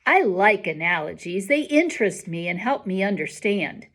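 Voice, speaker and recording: A woman voicing a quiet, observant, bookish boy with a measured, matter-of-fact manner in a storybook. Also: mid-range voice, very animated.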